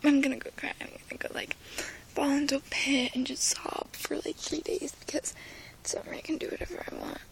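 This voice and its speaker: A young woman rants to the camera in a high, girlish voice. She swings from gushing exclamations to hushed whispers. Her delivery is dramatic and over the top, like a fan who can't contain herself. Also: fairly even intonation, brisk, creaky, rough recording. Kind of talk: casual fan rant.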